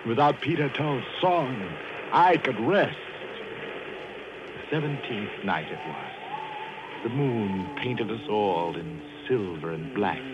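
A man's voice: lowish voice, animated, slow, muffled recording. An actor playing a ruthless, starving castaway who becomes a murderer and narrates his own story in 1940s radio drama style. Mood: brooding. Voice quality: hard-edged, brooding first-person narration. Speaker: narrator and lead character. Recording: hissy old radio broadcast recording.

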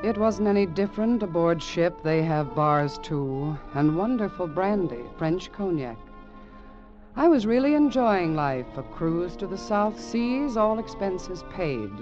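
An actor playing a grave man reading a letter aloud, in measured, emphatic mid-century radio drama narration. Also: high-pitched, animated. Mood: earnest, dramatic. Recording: muffled old radio recording. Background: music.

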